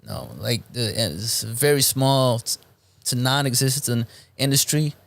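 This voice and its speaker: A man in his late twenties from Belgium, a native Moroccan Arabic speaker, talking casually as a rapper guest in an interview, with a relaxed, halting delivery full of ums. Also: medium pitch, gravelly, no echo. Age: 20s. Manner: relaxed, hesitant with frequent ums and restarts.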